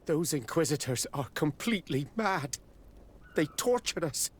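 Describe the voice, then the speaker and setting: A man's voice: fairly high pitch, lively intonation, a little slow. A man voicing a shaken sailor freed from captivity in a video game, earnest and urgent.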